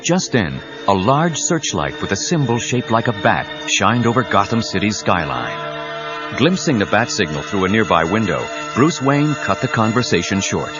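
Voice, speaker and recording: Low, animated dramatic narrator. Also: male voice, moderate pace. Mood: dramatic, suspenseful. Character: dramatic male storyteller of a superhero tale. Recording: dull 1990s cassette, music under voice.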